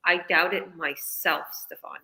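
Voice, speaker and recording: A woman from Canada, a business coach, talks through her story in a casual live interview. Her voice sits a little low but sounds bright and forward. She keeps it chatty and upbeat, checking in with "right?" as she goes. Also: fairly even intonation, takes it slowly, creaky. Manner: chatty and upbeat, tags lines with "right?" and "you know".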